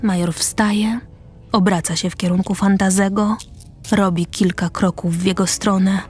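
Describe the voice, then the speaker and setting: A woman narrating a radio drama, reading stage directions in short measured phrases. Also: medium pitch, fairly even intonation, a little mellow, husky. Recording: music behind the voice.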